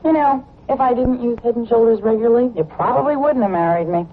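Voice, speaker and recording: A woman's voice: on the high side, fast talker, lightly acted. A woman acting a playful, affectionate newlywed wife in a teasing radio commercial dialogue. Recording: tinny, faintly noisy 1970s radio recording.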